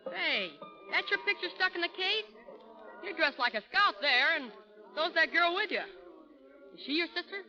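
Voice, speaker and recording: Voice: extremely high pitch, animated, moderate pace, long pauses, music in the background. A performer playing an eager, inquisitive small frontier boy with folksy slang in a 1930s radio drama. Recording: muffled vintage 1930s radio broadcast.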